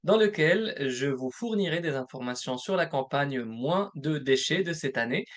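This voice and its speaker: A man presenting a recorded webinar as a program manager, explaining points one by one in a measured way. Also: on the high side. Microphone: recorded presentation voice-over.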